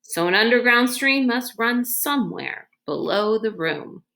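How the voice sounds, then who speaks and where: A woman voicing a calm, protective grown man in a children's storybook, with a measured, understated and reassuring manner. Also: a little high, gravelly, heavily acted. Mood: matter-of-fact. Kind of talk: children's novel read-aloud.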